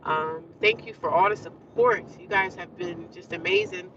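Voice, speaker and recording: A woman's voice: lowish voice, normal speed, far from the mic, lo-fi sound, background noise. A woman chatting casually to her viewers from inside a car, talking with a congested nose. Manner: chatty, talks straight to viewers. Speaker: vlogger. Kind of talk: casual rambling chat to viewers.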